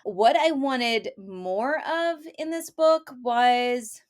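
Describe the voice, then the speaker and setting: A woman's voice: on the high side, lively intonation, drawn-out words, smooth. A woman co-hosting a casual book review podcast, talking in an emphatic, opinionated and conversational way. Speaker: podcast co-host reviewing romance novels.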